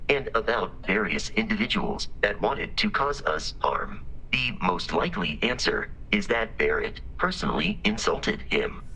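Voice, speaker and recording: An actor playing a dutiful robot ship's assistant in a video game, formal, precise and deadpan. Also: male voice, deep voice, a little hiss or hum, exaggerated voice, low-quality recording. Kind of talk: scripted game dialogue.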